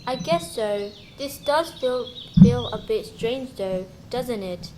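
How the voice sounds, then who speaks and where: Eager storybook heroine, acted by a female performer, highish voice, school radio play, clear birdsong and ambient noise. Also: expressive, takes it slowly. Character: Fatima, eager curious girl lost in storybook. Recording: noisy, birdsong and ambience behind.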